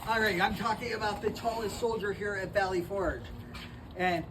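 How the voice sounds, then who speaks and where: A man working as a living history interpreter, telling a story to visitors in an animated, inviting way and asking the audience questions. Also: high voice, moderate pace. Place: historic park. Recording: distant mic with outdoor ambient noise.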